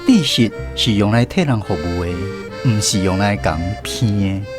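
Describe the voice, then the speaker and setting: A man's voice: fairly low pitch, lively intonation, normal speed, music in the background. A man with a Taiwanese accent narrating a scripted radio drama. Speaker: narrator and host.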